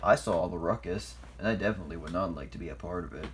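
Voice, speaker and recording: Someone doing a reluctant, low-voiced big diesel. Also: very fast, slight background noise, natural voice. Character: Boko, a reluctant, grumbling big male diesel engine.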